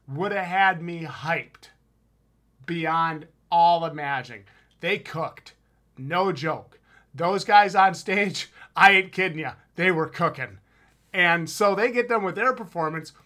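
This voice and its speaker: A man with a Wisconsin accent talks casually into a headset mic from a room at home, reviewing a haunted house. His voice sits a little high and sounds bright and forward. He is enthusiastic and emphatic, with plenty of slang. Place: home room with shelves, indoors. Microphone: headset with boom mic on video call.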